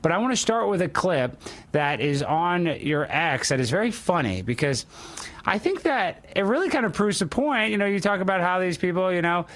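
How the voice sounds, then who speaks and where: A man's voice: high voice, very animated, normal speed, fairly bright tone. A man hosting a talk show with brash, joking, opinionated banter in a casual style.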